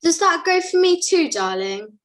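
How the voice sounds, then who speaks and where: A woman's voice: very high voice, very animated, measured pace, far from the mic, exaggerated voice. A young woman acting a vain, pampered puppet with affected, gushing manners in an amateur puppet show. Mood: affected, breezy. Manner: affected, gushing "darling" talk. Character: vain, pampered luvvie puppet, boutique regular.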